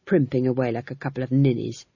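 A narrator voicing a stern, no-nonsense old witch, with curt, dry speech and a husky voice. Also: medium pitch, level tone, fast, mellow, no echo, muffled recording, pitched below the performer's own voice.

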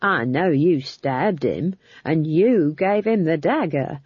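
A woman voicing an unflappable old witch, plain-spoken, defiant and deadpan, in an audiobook. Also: low-pitched, takes it slowly, slightly rough, no echo, muffled recording, heavily acted. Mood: sly accusation. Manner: rustic, deadpan backtalk. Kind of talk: audiobook character voice.

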